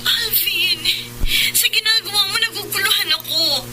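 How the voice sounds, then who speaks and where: A woman's voice: very high voice, normal speed, over music, rough recording. A woman acting a heartbroken young student who clings to her boyfriend, plaintive and pleading, in a Filipino radio drama. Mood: upset, pleading.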